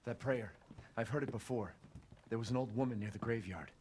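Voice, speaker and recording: A man's voice: mid-range voice, fairly quick, darkish tone, slight background noise, lightly acted. An actor playing a desperate, urgent male survivor in a dramatic video game. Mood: wary. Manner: urgent, insistent. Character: desperate male survivor trying to rescue a young woman.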